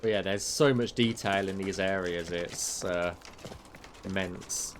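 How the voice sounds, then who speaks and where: A man's voice: low-pitched, unhurried, slight background noise. A man, a game mod developer chatting casually on a livestream, rambling and answering chat with many fillers, his voice getting tired. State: losing his voice.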